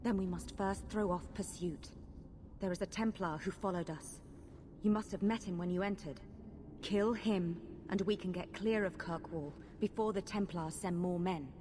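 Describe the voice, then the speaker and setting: A woman's voice: on the low side, moderate pace, a little mellow, in a large hall, slight background noise, natural voice. An actress playing a proud, impassioned rebel mage woman in a fantasy video game, earnest and persuasive. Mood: urgent pleading. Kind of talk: dramatic video game acting.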